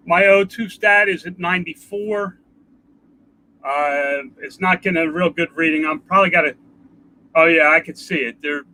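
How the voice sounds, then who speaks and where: An older man with a congested nasal voice, talking in a rambling, halting way in a casual home video update. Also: a little high, sharp tone, low-quality recording. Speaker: vlogger. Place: outdoors.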